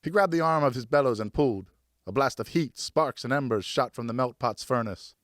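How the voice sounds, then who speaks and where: A male audiobook narrator with a mid-pitched voice reads literary prose. His storytelling is expressive and carefully phrased, captured in a dry, close recording. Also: fairly quick, dry recording. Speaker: audiobook narrator, professional voice actor.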